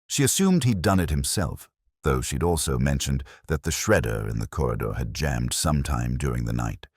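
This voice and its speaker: A man with a very deep voice narrates a first-person detective story. His delivery is measured and melancholy, with lively movement in pitch. The sound is dry, with no room around it. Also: slightly rough, dry recording. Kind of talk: first-person scripted literary mystery narration.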